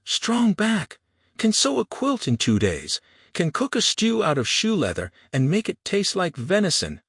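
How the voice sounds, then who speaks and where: Blustering carnival-barker stepfather for a western audiobook, acted by a male reader: raised pitch, loud hard sell. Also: wildly swooping pitch, unhurried, husky, dry recording, studio quality, heavily acted. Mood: blustering, pushy. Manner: loud, pushy sales pitch.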